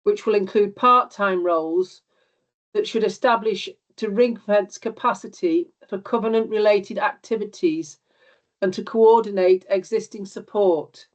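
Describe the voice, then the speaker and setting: A woman with a cold and a slightly squeaky voice giving a steady spoken report to a meeting over a remote call. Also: a little slow. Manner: reports steadily, with some ums and restarts. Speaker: regional covenant liaison officer. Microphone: remote video call. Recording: dull remote call audio.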